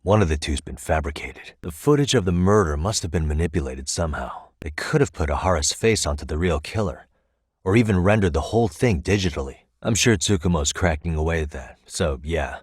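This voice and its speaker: A male actor voicing a composed, determined private detective in a video game, with a measured, probing delivery. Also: low-pitched, lively intonation, husky, no echo, clean studio sound. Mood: focused, determined. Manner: measured, probing, matter-of-fact. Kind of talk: English video game dialogue dub. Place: dry recording studio.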